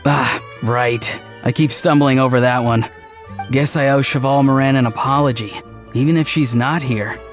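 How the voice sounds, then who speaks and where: A man's voice: medium pitch, steady tone, normal speed, soft background music, natural voice. A man playing a laid-back, friendly and quirky young man in a video game, casual and literal-minded. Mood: easygoing.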